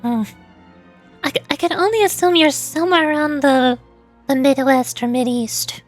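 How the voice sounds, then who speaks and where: High-voiced woman streamer thinking aloud. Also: moderate pace. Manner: hesitant, thinking aloud, stammers and repeats words. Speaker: streamer, VTuber. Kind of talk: casual livestream chat with viewers. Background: background music.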